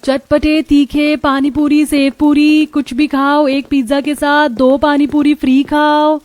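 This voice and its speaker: A woman's voice: high voice, flat delivery, unhurried, a little mellow. A woman playing an earnest, cheerful young wife who works as a street vendor in a cartoon story. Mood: calling customers. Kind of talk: animated moral story dialogue. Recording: music behind the voice.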